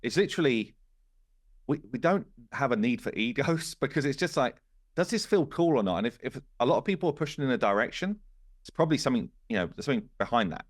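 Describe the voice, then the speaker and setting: A man's voice: mid-range voice, lively intonation, quick pace, dry recording, studio quality. A man talking casually as a podcast host, explaining things informally and voicing imagined dialogue as he goes. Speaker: game studio founder and podcast host.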